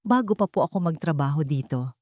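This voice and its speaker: A woman's voice: deep voice, lively intonation, fairly quick, dark tone, dry recording, clean studio sound. Woman voicing a shy, respectful young nanny. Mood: shy, earnest. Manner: shy, deferential.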